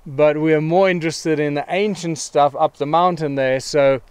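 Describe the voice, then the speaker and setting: A man speaking informally as he introduces a site to a group, with deliberate, emphatic phrasing. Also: on the high side, steady tone, darkish tone, clear, resonant tone, no echo.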